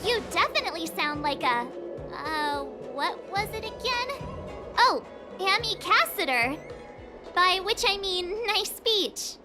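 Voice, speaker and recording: A teenage girl adventurer in a fantasy game, perky and easily flustered. Her voice is high, and she talks in a blunt, informal, chatty way. Music runs clearly underneath. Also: animated, slow, exaggerated voice. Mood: teasing. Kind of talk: video game voice acting. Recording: music behind the voice.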